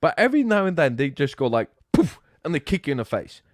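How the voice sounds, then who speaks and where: A man's voice: a little high, fast, no echo, studio quality. A man with a Norwegian accent in casual chat, ranting playfully and swearing, recovering from flu. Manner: animated, swearing, playful rants. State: sick with flu, drinking. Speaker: podcast guest.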